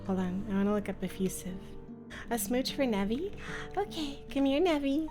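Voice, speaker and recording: A woman's voice: fairly high pitch, expressive, normal speed, over music. A woman livestreamer reading a visual novel aloud and chatting casually, with a playful, affectionate manner. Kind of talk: casual stream chat and read-aloud narration.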